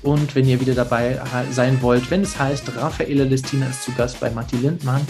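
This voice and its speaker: A man's voice: medium pitch, fairly even intonation, a little fast, music in the background. A man hosting a podcast interview, talking in an enthusiastic, chatty way. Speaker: podcast host.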